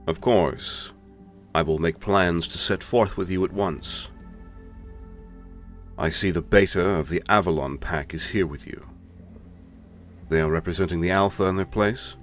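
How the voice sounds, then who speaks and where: A man's voice: low-pitched, measured pace, pauses often, soft background music. A man playing a courteous, commanding werewolf pack leader in a fantasy audio drama, speaking formally. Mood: businesslike. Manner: formal, authoritative. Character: lordly male werewolf alpha, courteous and commanding. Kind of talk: scripted fantasy audio roleplay.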